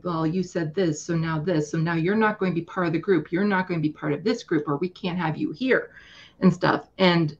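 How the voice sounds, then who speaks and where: A woman's voice: fairly low pitch, a little mellow, muffled recording. A woman guest speaking earnestly and emphatically in a casual political discussion, often acting out imagined quotes.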